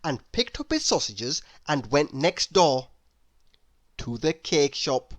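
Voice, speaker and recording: A woman reading a children's storybook aloud as an animated narrator. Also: low voice, takes it slowly, no echo. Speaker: storybook reader.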